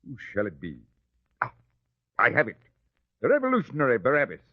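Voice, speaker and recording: An actor playing a proud but uneasy Roman governor who speaks condescendingly, in an old-time radio drama. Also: male voice, medium pitch, wildly swooping pitch, brisk, no room sound. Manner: haughty and condescending, then hesitant. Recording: dry, dull lo-fi recording.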